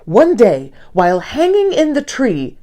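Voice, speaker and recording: Animated high-voiced woman reading a storybook. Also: a little slow. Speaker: read-aloud host. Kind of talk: expressive children's picture book narration.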